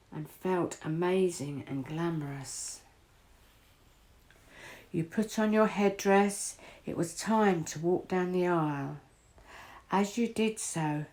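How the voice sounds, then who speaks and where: Slow, low-voiced woman guiding a visualisation. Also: darkish tone. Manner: measured, deliberate, with pauses between short phrases. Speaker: guided visualisation host. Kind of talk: guided meditation, scripted second-person narration.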